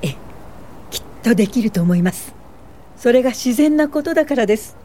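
An actress playing an earnest, polite young woman activist in a Japanese radio drama, speaking gently. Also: a little high, expressive, a little fast, a little hiss or hum, natural voice. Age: young adult.

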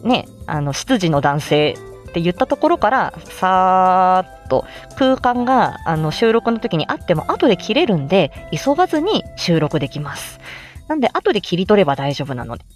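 Female amateur voice actor as livestream host: animated, swooping pitch, friendly tutorial chat, polite and encouraging, music underneath. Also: normal speed. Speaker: amateur voice actor explaining how to make voice samples. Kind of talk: casual explanatory livestream chat. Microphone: smartphone, close.

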